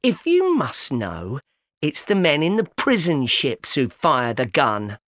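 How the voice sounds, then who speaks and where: A man's voice: a little high, huge pitch swings, takes it slowly, no room sound, clean studio sound, heavily acted. A man doing a stern, scolding sister. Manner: sharp, scolding. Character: stern, scolding older sister, tall woman. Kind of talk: audiobook character voice.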